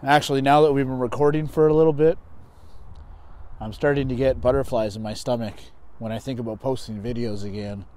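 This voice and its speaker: A man's voice: medium pitch, steady tone, fairly quick, a little mellow. A middle-aged man talking casually as the host of an outdoor vlog. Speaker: video host. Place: outdoors on open gravel ground near an overpass. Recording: outdoor noise, wind or traffic.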